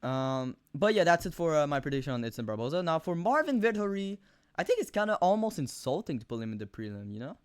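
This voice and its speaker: A young man with a thick Quebec French accent giving rambling, profane fight predictions on camera, full of fillers and sudden animated outbursts. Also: on the high side, fast talker, clear, resonant tone, no room sound, clean studio sound. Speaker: MMA video host. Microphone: camera mic, close.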